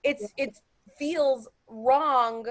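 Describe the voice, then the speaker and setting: A woman's voice: sits high, very slow. An actress on a panel, explaining her view in an emphatic, conversational way. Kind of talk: casual panel discussion.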